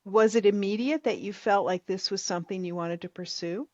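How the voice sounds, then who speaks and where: A woman's voice: mid-range voice, a little fast, a little mellow, dry recording, highs cut off. A woman hosting a podcast interview, playful with short prompts. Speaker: podcast host.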